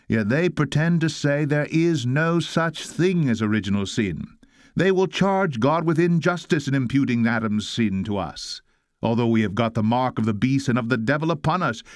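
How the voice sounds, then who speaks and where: A man's voice: mid-range voice, no echo, studio quality. A man reading an old sermon aloud in a solemn, oratorical style, exhorting listeners with weighty rhetorical delivery. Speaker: reader of a historic sermon.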